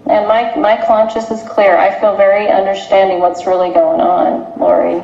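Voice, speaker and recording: Muffled, echoey, mellow woman on phone. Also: medium pitch, little pitch movement, normal speed, creaky. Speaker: phone caller questioning a friend. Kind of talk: serious private phone conversation. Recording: muffled, echoey, noisy recorded phone call.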